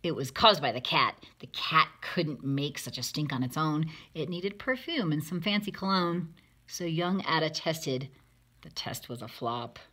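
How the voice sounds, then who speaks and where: A woman storyteller reads a children's picture book in rhyme. Her voice sits low and has a husky edge, yet it is bright and forward. She gives the lines expressive, dramatic emphasis. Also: measured pace. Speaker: storybook reader.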